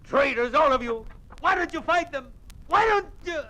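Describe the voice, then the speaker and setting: An actor playing an agitated former official near nervous collapse, ranting and accusing in an old-time radio drama. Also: male voice, very high-pitched, expressive, normal speed, sharp tone, slight background noise, exaggerated voice, rough recording. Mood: hysterical.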